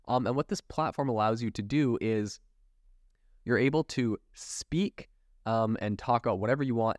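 A man's voice: on the low side, no room sound, studio quality. A man hosting a solo tech news podcast, talking in an enthusiastic, conversational way. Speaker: podcast host.

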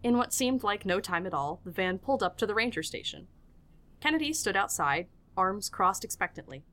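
A woman's voice: medium pitch, expressive, brisk, fairly bright tone. A woman narrating a tabletop audio drama as game master, reading scripted lines with playful asides.

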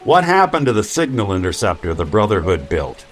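Brooding hard-boiled robot gumshoe, low voice, world-weary and wry, radio-drama noir over music. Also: male voice, very animated, fairly quick. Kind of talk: old-time radio drama acting.

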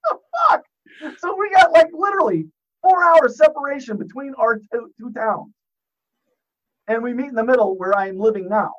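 A man's voice: very high voice, moderate pace, pauses often, fairly bright tone, highs cut off. A man on a podcast as a guest, telling rambling, profane stories and laughing at his own jokes. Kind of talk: casual podcast chat, storytelling.

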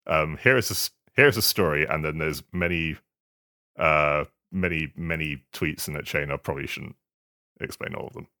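Male podcast co-host. Very low, forceful voice, halting casual conversational delivery. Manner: halting, hesitant delivery with stammered repetitions. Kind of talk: casual chat.

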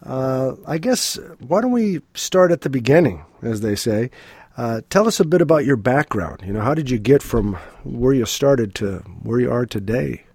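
A man hosting a music interview podcast, sounding stuffy from a cold and reading his guest's background in a measured, formal way. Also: medium pitch, expressive, darkish tone, slightly rough, no echo. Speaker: podcast host.

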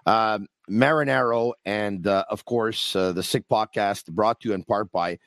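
A man's voice: lowish voice, measured pace, dry recording. A man hosting a sports podcast, talking animatedly with emphatic repetition while losing his voice. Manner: animated, emphatic, repeats phrases. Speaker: podcast host. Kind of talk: sports talk, casual.

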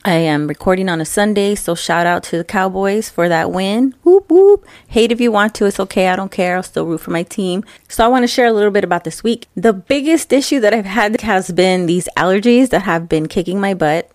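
A woman's voice: mid-range voice, animated, fairly quick, few pauses, a little mellow, no room sound. Woman, podcast host, stuffed-up nose, rambling casual chat, breath close on the mic. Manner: chatty, loose and rambling. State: stuffed up from allergies. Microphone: close mic, breathing audible.

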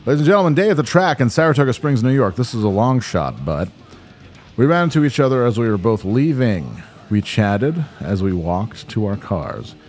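Loose, profane man with lively pitch. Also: moderate pace, faint music behind. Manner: loose, profane, mumbling asides. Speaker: podcast co-host. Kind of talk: casual banter. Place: apartment room.

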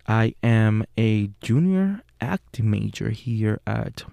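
Young puerto rican guy hosting his podcast, spanish is his first language, takes it really slow, lots of ums and self-corrections. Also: fairly low pitch, lively intonation, creaky, warm tone, no echo. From Puerto Rico. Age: young adult. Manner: earnest, with frequent fillers and self-corrections. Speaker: podcast host. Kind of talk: solo podcast monologue.

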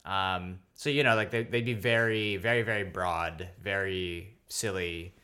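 A man's voice: deep voice, steady tone, a little slow, sharp tone, smooth. A man from New York City, a comedian guest talking in an easygoing, loose way, peppered with "like" and "um". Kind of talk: casual interview chat.

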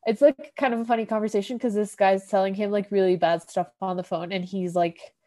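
A woman's voice: medium pitch, fairly even intonation, a little fast, dark tone. A woman podcast host casually recapping a TV episode, rambling with lots of "like" fillers. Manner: rambling, heavy use of "like", laughs often.

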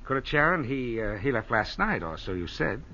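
A man's voice: medium pitch, big pitch swings, moderate pace, bright, forceful tone, exaggerated voice. An actor playing a jealous, insinuating, heavy-handed man in an old-time radio drama. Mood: jealous, needling. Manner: insinuating, pushy. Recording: muffled old radio recording, faint hiss.

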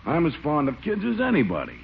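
An actor playing a sentimental, easily swayed small-town police chief in an old radio comedy, blustery and earnest. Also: male voice, on the high side, huge pitch swings, quick pace, vocal fry, slightly rough, exaggerated voice. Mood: defensive. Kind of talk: 1940s radio sitcom acting. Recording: muffled, noisy old radio recording.

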